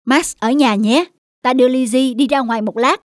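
A woman's voice: high voice, moderate pace, dry recording, clean studio sound, lightly acted. A woman voicing a young boy who owns dogs in a cartoon, plain and youthful in manner. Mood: calm. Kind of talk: dubbed cartoon acting.

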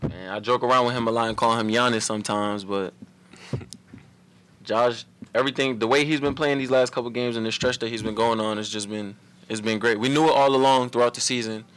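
A young man, a college basketball player, answering reporters after a game in a relaxed, run-on way at a table mic. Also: mid-range voice, quick pace, clear, resonant tone. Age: young adult. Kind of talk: post-game press conference. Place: press room at a table before a logo backdrop.

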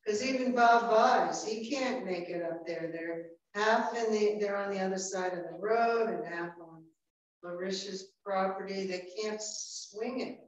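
A woman's voice: medium pitch, measured pace. A woman with a Vermont accent on a town board, practical and asking pointed questions. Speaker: board member. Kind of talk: town meeting discussion. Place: town office meeting room. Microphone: far room camera. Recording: echoey room from far off, dull sound.

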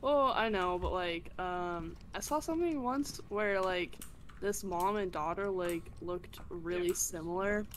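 A woman's voice: lowish voice, a little slow, slight background noise. A woman in her thirties streaming a co-op ghost-hunting game from home, chatting casually and teasing her friends with frequent laughs. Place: home room, indoors.

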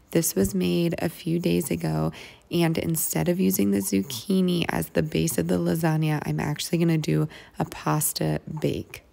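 A woman's voice: lowish voice, creaky, darkish tone. An American woman in her thirties narrating her meal prep casually as a home vlogger.

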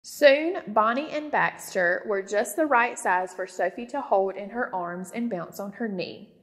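A woman's voice: mid-range voice, a little slow. Woman reading a storybook in a reverberant room. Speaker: storybook reader. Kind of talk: children's read-aloud narration.

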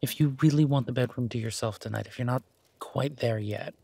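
A man playing a shy, gentlemanly boyfriend in an intimate romantic audio roleplay, speaking softly and haltingly with gentle teasing. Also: mid-range voice, vocal fry, slightly rough, no echo. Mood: tender, hesitant. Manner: hesitant, soft-spoken, gently teasing.

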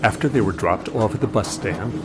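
A man's voice: on the low side, fairly quick, very noisy. A man narrating an audio drama as a scripted story.

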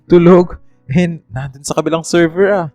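A man's voice: a little high, steady tone, moderate pace, dark tone, dry recording, faint music behind, close to an everyday voice. A man playing a casual, nosy young gamer friend in a Filipino radio drama. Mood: curious.

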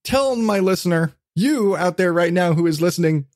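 A man's voice: sits high, animated, moderate pace, darkish tone, no echo, clean studio sound. A man hosting a podcast in casual chat, blunt, self-deprecating and swearing freely. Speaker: podcast host.

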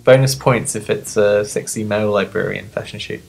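A sniffly magazine reviewer of medium-pitched voice chatting casually off the cuff, rambling, playful and self-deprecating. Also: steady tone, normal speed, vocal fry, soft background music. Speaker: magazine reviewer and video host.

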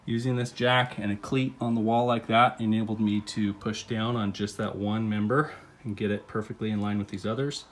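A man in his thirties calmly explaining a home renovation step by step, talking while he films on a phone. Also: on the low side, moderate pace. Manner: relaxed, explains step by step with fillers. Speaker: DIY renovation teacher. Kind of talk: casual instructional talk. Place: indoors, first in a car, then a house under renovation. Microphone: phone camera, often held while working.

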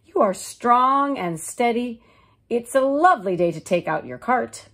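A firm, busy storybook mother hen, put on by a woman reader. She speaks in expressive, drawn-out phrases that swing up and down, lifted high with a slightly husky edge. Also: full character voice. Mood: resolute.

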